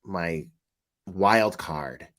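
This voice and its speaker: A man with a fairly low voice talks at a very slow, drawn-out pace. He reacts casually as a commentator, with blunt mockery and plenty of swearing. His manner is sardonic throughout. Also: fairly bright tone. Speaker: commentator reacting to online true-crime streamers.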